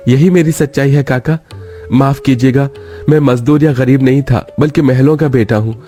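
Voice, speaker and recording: A man playing an earnest, gentle young rich groom in a cartoon story, speaking in a measured way. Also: mid-range voice, fairly even intonation, soft background music, lightly acted. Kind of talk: Hindi cartoon story dialogue.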